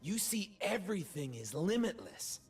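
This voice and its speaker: A man's voice: high voice, sing-song, normal speed, a little mellow, slightly rough, soft background music, heavily acted. A man voicing a cocky, carefree bird-man performer in a video game, with a showy, swaggering, exclamatory delivery. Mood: upbeat, playful. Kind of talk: video game character voice lines.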